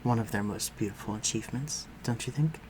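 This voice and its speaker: A man's voice: medium pitch, brisk, lightly acted. A man playing a protective, courtly vampire lord in an audio roleplay, speaking formally and tenderly to his human listener. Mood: gentle, attentive. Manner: formal, old-fashioned, tender and reassuring. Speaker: voice actor. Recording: clearly noisy, ambient sound behind voice.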